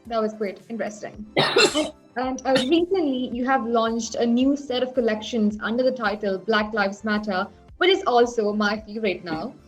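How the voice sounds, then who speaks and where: A woman's voice: a little high, normal speed, soft background music, low-quality recording. A woman host brought up in the UAE, asking questions hesitantly with many fillers. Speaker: interviewer, host. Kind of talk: interview questions.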